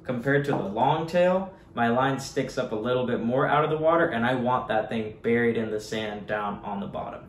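A man's voice: medium pitch, normal speed, hardly any pauses. A young man, a fishing guide, chatting easily to his viewers as he unboxes gear at his desk in a small home office. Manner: easygoing, chatty, addresses viewers as guys. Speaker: surf fishing guide and channel host. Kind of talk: casual unboxing vlog talk. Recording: echoey room with faint hiss.

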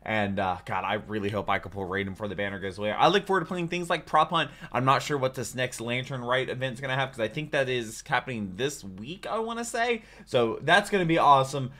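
A man's voice: medium pitch, big pitch swings, fast talker, few pauses, bright, forceful tone. A man, giving chatty, informal reaction commentary. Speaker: reaction video host. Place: small home room, indoors. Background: faint music.

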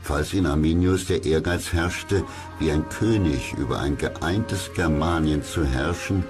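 A man reading scripted documentary narration in a measured, dramatic storytelling way. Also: a bass voice, a little mellow. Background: music behind the voice.

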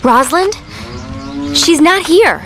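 A woman playing a feisty, sassy teenage cheerleader heroine in a video game, with a blunt, bratty, expressive delivery. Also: high voice, slow, noisy background, exaggerated voice. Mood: worried. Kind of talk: video game cutscene acting.

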